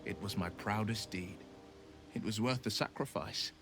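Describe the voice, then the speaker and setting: A man's voice: fairly low pitch, normal speed, music in the background, natural voice. A man playing a horned, pact-bound warlock hero in a video game, speaking with solemn, eloquent, theatrical earnestness. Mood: solemn, earnest. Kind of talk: dramatic video game acting.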